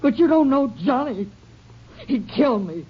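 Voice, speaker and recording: A woman's voice: high voice, expressive, measured pace. Brief: an anxious, pleading young woman, dull old broadcast sound, faint hiss. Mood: frightened. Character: frightened young woman hiding an outlaw. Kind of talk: 1950s radio drama acting. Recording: dull old radio recording.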